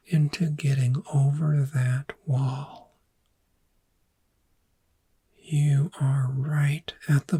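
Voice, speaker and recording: A woman playing a calm, gentle dragon therapist, speaking in hushed, breathy, slow and soothing ASMR guided imagery. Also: deep voice, full character voice. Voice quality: breathy, whispery.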